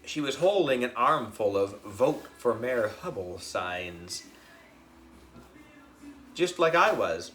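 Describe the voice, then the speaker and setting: Bright, animated man reading a kids' story. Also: medium pitch, slow pace, long pauses. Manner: expressive, dramatic. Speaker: audiobook reader, narrating as a schoolboy. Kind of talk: animated children's story narration.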